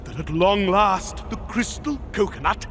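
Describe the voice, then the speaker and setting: Cartoon crocodile tyrant, very high voice, wildly swooping, pompous, grandiose, short-tempered, theatrical. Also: male voice, animated. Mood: triumphant. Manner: pompous, grandiloquent, drawn-out emphatic delivery. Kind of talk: theatrical cartoon villain acting. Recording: cartoon soundtrack with loud music behind.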